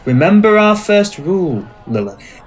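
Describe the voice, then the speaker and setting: A man playing an ancient, suspicious male vampire in an ASMR roleplay, speaking in formal, measured, commanding phrases. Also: high voice, sing-song, heavily acted. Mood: probing, suspicious. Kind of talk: scripted ASMR roleplay acting.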